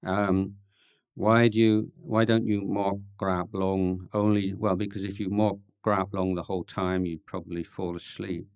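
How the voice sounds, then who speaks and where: A man, a Buddhist monk, answering questions at a meditation retreat in a thoughtful, halting way, with dry gentle humor and many pauses and ums. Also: low-pitched, flat delivery, smooth, dry recording. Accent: English. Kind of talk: relaxed Dhamma Q&A talk.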